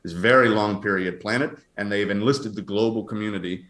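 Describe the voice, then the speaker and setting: A man speaking as an astronomer guest, explaining science conversationally in a halting, thinking-aloud way. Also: lowish voice, a bit bright. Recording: roomy, echoey sound.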